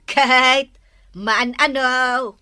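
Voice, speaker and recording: A brazen Ilocano neighbourhood auntie, acted by a woman, gossips in a scoffing drawl. Her voice is fairly high and piercing, with unhurried timing and a dry, close sound, in radio-drama style. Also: steady tone, bright, forceful tone, clear, resonant tone, no room sound, heavily acted. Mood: indignant.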